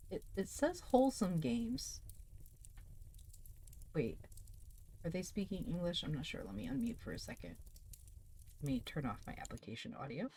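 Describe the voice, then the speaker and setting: Calm woman streamer, slightly low voice. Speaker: livestream host reading stories aloud. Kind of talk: casual livestream chat.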